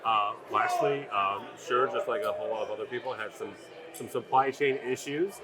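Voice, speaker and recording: A man's voice: mid-range voice, normal speed, over loud music. A man conducting a trade show interview as the interviewer, with folksy, informal phrasing. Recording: crowd chatter at busy event. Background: crowd at a sales meeting.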